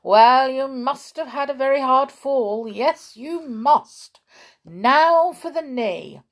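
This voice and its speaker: A woman voicing a fussy, kindly old male gnome doctor in a storybook, soothing and brisk, repeating himself. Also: sits high, lively intonation, pitched above the performer's own voice, heavily acted.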